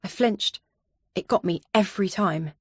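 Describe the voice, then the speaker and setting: A woman's voice: medium pitch, normal speed, slightly rough, dry recording, studio quality. A woman reading scripted first-person thriller narration as an audiobook narrator.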